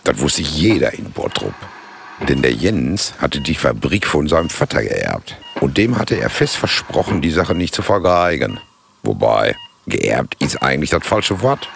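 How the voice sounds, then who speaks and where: Radio play narrator: chatty, wry Ruhr local, extremely low gravelly voice, swinging intonation. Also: male voice, heavily acted. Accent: Ruhr area German. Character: chatty, wry local man telling the town's story.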